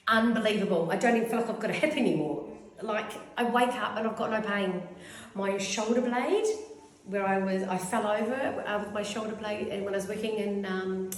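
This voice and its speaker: A New Zealand woman in her fifties giving a rambling, effusive testimonial as a clinic client. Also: medium pitch. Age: 50s. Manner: rambling, effusive, goes off on tangents. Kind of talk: casual testimonial interview. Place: clinic room. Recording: very echoey room.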